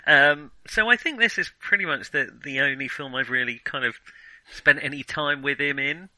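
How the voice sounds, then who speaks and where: A man's voice: fairly high pitch, moderate pace, bright, forceful tone, dry recording, rough recording. A man co-hosting a casual film discussion, giving opinions hesitantly as he thinks them through. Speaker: film podcast co-host.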